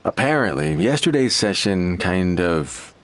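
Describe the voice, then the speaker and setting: A man's voice: sits low, big pitch swings, measured pace, a little hiss or hum. A man playing an anxious, evasive male patient in a video game, hesitant and pleading.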